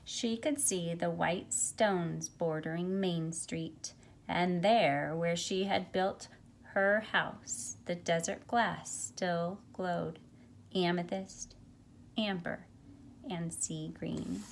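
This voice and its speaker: A middle-aged woman, a teacher, speaking expressively and deliberately as if to young students during a picture book read-aloud. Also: on the low side, creaky, slight background noise. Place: carpeted room at home, indoors. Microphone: phone at arm's length.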